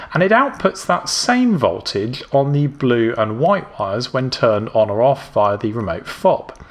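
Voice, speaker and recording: A man calmly explaining an electronics build step by step, in a clear, methodical narration. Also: medium pitch. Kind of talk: scripted technical narration.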